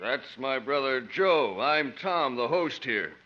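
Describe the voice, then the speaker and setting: Slow, gloating tavern brute. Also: male voice, medium pitch, animated, bright, forceful tone. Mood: gloating, menacing. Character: menacing rough tavern-keeping brute and his murderous brother. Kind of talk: 1950s radio drama acting. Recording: muffled 1950s radio broadcast.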